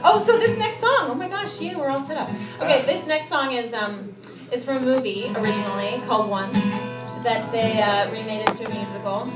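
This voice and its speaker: High-voiced woman bantering onstage, roomy live recording. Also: brisk, over music. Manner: chatty, joking, informal. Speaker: cabaret singer and host. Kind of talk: casual stage banter between songs. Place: cabaret theatre stage, indoors. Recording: live stage recording, distant mic, roomy, audience noise.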